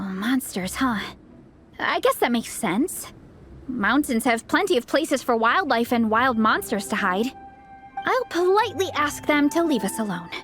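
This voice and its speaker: An energetic young female athlete in a video game cutscene. The voice is high and very animated, friendly and encouraging. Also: full character voice. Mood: casual. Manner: upbeat, encouraging.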